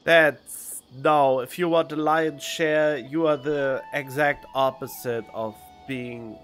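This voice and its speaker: A man's voice: mid-range voice, steady tone, slow, fairly bright tone. A man giving casual let's play commentary as a streamer, with dry, wry asides. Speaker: video game streamer. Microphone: studio condenser mic. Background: faint game music.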